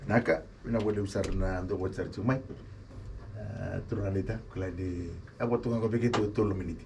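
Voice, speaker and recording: Male radio host, very low voice, distant mic, noisy. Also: steady tone, a little fast. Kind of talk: radio talk show hosting. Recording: distant mic with clear noise.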